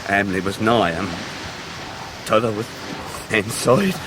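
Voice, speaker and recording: An actor playing a heartbroken, honest young fisherman in a period radio drama, halting and deferential. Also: lowish voice, lightly acted. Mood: grave, reluctant. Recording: noisy 1990s radio drama recording.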